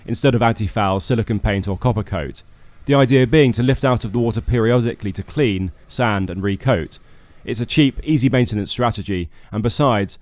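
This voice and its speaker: A man's voice: lowish voice, a little mellow, no echo. A British man narrating a scripted vlog as a boat skipper, with a wry, dryly humorous manner. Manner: wry, dry humour.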